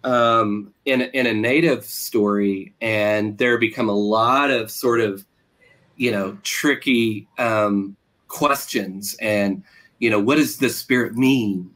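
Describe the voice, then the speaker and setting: A man's voice: fairly low pitch, slow pace, a bit bright. Hesitant American man, thoughtful interviewee. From Oklahoma, USA. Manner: hesitant, searching, trails off and repeats phrases. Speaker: interviewed novelist.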